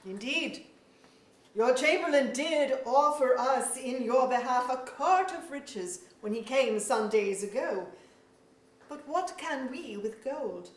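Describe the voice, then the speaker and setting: A woman playing a slow-spoken, proud fairy king. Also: sits high, lively intonation. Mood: bitter. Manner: formal, declamatory, archaic phrasing. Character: wounded, sorrowful male fairy king, proud and weary. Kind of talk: staged reading of verse drama. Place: museum auditorium stage. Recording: echoey auditorium, recorded from far off.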